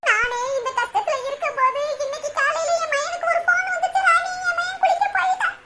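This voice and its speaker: A woman's voice: very high voice, expressive, moderate pace, bright, forceful tone, slight background noise, exaggerated voice. A woman voicing a distraught middle-aged village mother in a cartoon, crying and plaintively repeating herself in a rural southern Tamil Nadu accent. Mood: aggrieved, tearful.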